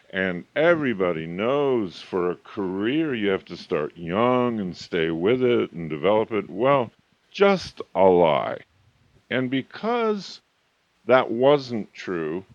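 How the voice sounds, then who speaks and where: A man in his fifties or older speaks in a low, highly animated voice, pressing his points as a motivational coach. He stretches out key phrases for emphasis. The recording is dry and a little dull. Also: no echo. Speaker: coach and author. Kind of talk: motivational talk. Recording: slightly muffled, dry recording.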